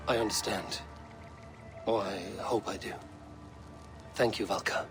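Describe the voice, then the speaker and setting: An actor playing a grave, resolute Viking warrior in a video game, speaking in a solemn, measured, formal way. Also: male voice, on the low side, fairly even intonation, close to an everyday voice. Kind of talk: dramatic video game cutscene acting. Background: music.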